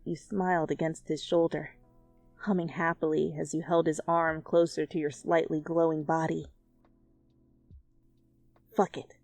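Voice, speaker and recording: A woman giving an expressive, theatrical amateur reading of fan fiction as narrator. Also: sits low, unhurried, pauses often, dry recording. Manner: animated, theatrical.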